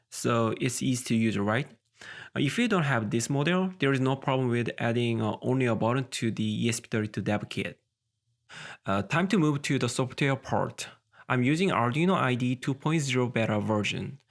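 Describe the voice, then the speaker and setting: Creaky-voiced man narrating a tech tutorial. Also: mid-range voice, fairly bright tone. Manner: hesitant, with frequent uh fillers. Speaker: host of an electronics tutorial channel.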